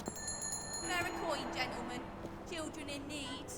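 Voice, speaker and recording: Slow, high-voiced old charity collector. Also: female voice, very noisy. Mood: pleading. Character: nosy older female foundling hospital charity beggar.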